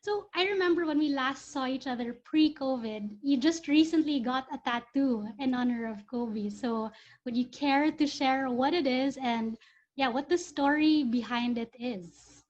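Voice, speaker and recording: A woman's voice: high voice, a little slow, darkish tone, muffled recording. A woman hosting a podcast, asking friendly, short questions in a casual chat. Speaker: podcast host.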